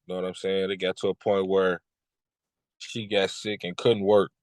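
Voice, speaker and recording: A man in his thirties from Kentucky, a music artist talking casually in an interview, relaxed and repeating his phrases. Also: low voice, normal speed, no echo. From Lexington, Kentucky. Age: 30s. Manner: laid-back, repeats "you know what I'm saying".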